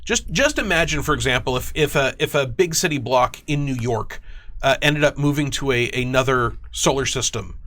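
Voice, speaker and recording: A man speaking as a guest game designer, explaining fictional lore in casual, animated detail and acting out imagined quotes. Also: mid-range voice, normal speed. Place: studio.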